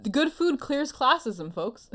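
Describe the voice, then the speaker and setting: A woman's voice: high-pitched, sing-song, measured pace, a bit bright. A woman hosting a casual anime podcast, chatting in a playful, giggly manner. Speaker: podcast host.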